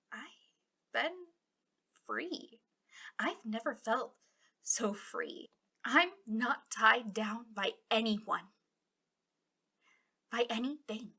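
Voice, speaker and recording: A woman acts out a disillusioned female villain in an ASMR roleplay, guarding her captive. Her voice is a little high and bright, with a creaky edge. She speaks intimately and dramatically, bitter and impassioned, often sinking toward a whisper. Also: full character voice. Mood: bitter, reflective.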